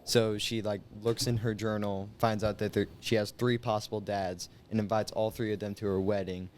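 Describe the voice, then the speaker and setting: Lowish teenage young man, noisy recording. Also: level tone, normal speed, a little mellow. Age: late teens. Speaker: high school senior guest. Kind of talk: casual chat.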